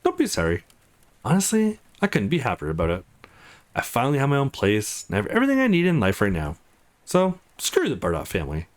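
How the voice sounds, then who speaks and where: A man's voice: mid-range voice, huge pitch swings, quick pace. A man voicing a teasing, wry young woman with a casual, defiant streak in a visual novel game. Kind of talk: visual novel dialogue read aloud.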